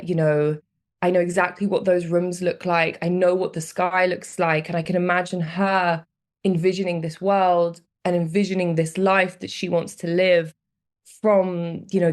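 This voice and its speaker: A young woman with a British accent talks about a poem, her slightly low voice warm with reflection and quickening when she recalls big decisions. She speaks as a podcast guest. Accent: British English. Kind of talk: conversational podcast chat.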